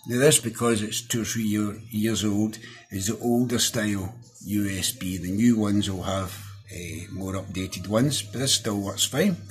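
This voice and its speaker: A middle-aged man with a Scottish accent talks through a hands-on review in a casual, down-to-earth way. His voice sits on the low side. Soft music plays faintly behind him. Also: unhurried. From Scotland. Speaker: product reviewer. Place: small indoor room at a desk.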